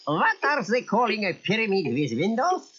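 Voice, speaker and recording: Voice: medium pitch, sing-song, normal speed, fairly bright tone. An actor playing a wisecracking, cackling bat in a children's cartoon audio story. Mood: joking. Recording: muffled old audio cassette.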